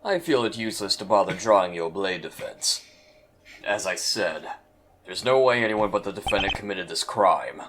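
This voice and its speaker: A man's voice: sits low, expressive, normal speed, fairly bright tone. A man voicing a cold, menacing male prosecutor in a fan audio drama, speaking in clipped threats. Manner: clipped, menacing, calls others "little lamb".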